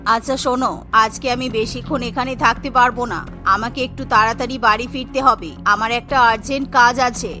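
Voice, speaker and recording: A woman voicing a vain, secretive widowed mother-in-law in a cartoon, talking in a soft, placating way. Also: fairly high pitch, fairly even intonation, normal speed, faint music behind, pitched below the performer's own voice.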